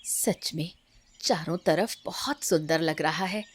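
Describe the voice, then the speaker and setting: A woman voicing a gentle, indulgent village grandmother in a cartoon story, unhurried and tender. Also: deep voice, slight background noise. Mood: gentle, admiring. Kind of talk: Hindi cartoon story dialogue.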